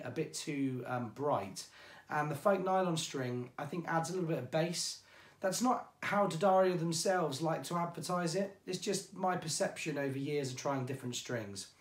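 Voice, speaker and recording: An English man presenting ukulele strings in a chatty, informal way, his voice going hoarse. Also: a little high, moderate pace, roomy sound. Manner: chatty, informal, self-deprecating. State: losing his voice. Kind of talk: product demonstration.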